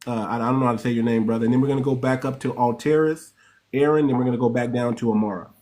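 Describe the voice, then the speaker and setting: A man's voice: mid-range voice, fairly even intonation, very fast, a little mellow. A man hosting a live call-in talk room, plain-spoken, moving from plugging merch to preaching. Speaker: host.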